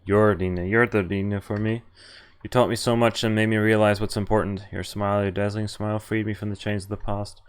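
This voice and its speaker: A man's voice: fairly low pitch, flat delivery, fairly quick, clear, resonant tone. A man streaming a game, reading its story text aloud in an informal way. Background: game music.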